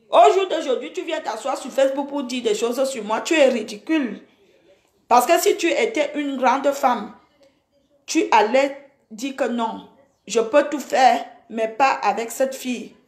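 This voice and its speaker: A woman's voice: high voice, unhurried. Indignant woman scolding, echoey room. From Cameroon. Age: 40s. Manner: indignant, scolding, repeats herself. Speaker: social media personality. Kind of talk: angry Facebook live rant.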